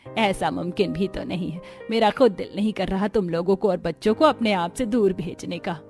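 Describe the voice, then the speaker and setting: A woman's voice: mid-range voice, moderate pace, darkish tone, soft background music, natural voice. Woman acting a tender older village mother. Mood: tender, nostalgic. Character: affectionate village mother, older, gentle and nostalgic.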